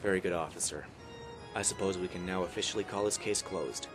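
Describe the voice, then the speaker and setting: A man's voice: deep voice, fairly quick, over music, natural voice. A man playing an incredulous police sergeant in an amateur radio play. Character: incredulous male police sergeant, gruffly in charge. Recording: clear street noise with police sirens. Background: police sirens, vehicles.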